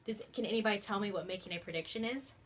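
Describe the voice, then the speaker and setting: A woman's voice: mid-range voice, racing. A woman teacher reading a storybook aloud expressively and putting questions to young children. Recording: faint background noise.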